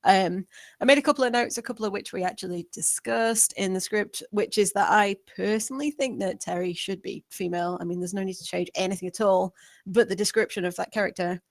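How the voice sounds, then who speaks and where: A woman giving casual feedback as a panelist, friendly, rambling and softening her points. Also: medium pitch, brisk, no room sound, clean studio sound. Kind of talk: casual chat.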